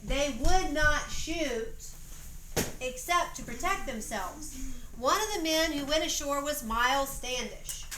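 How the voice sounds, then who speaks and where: A woman narrating a homemade history play like a storybook, reading aloud expressively with deliberate phrasing, in a roomy home recording. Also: high-pitched, bright, forceful tone. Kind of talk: storybook narration for an amateur play, with informal stage directions. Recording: roomy echoey home recording, faint noise.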